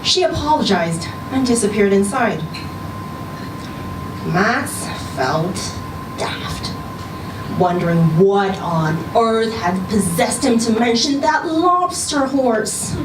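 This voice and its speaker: A woman giving an expressive narration of a scripted short story at a live reading. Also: medium pitch, slow pace. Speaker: story reader at a live literary event. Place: indoor social venue, live reading event. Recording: roomy live venue, distant mic, noisy background.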